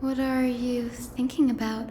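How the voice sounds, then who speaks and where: Woman voicing a soft high game girl doctor, really slow, wistful but quietly confident, music behind. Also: little pitch movement, lightly acted. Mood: wistful, musing. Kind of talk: game story dialogue. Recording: long reverb, music behind.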